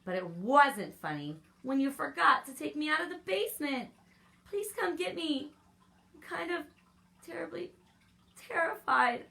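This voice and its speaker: A woman's voice: high voice, creaky, pushed and bright, voice pushed up, exaggerated voice. A woman voicing a timid crayon that pleads with its friend, in a children's storybook reading. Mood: frightened, pleading. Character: scared glow-in-the-dark crayon, pleading timidly.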